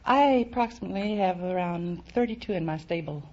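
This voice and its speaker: A woman's voice: fairly low pitch, brisk, a little mellow, dull audio. A woman answering questions as a quiz show contestant on an old radio recording.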